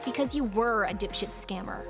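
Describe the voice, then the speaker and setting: A woman's voice: medium pitch, moderate pace, over music, close to an everyday voice. An actress voicing a young hacker revolutionary in a video game cutscene, confiding and dryly sardonic, with swearing in her speech.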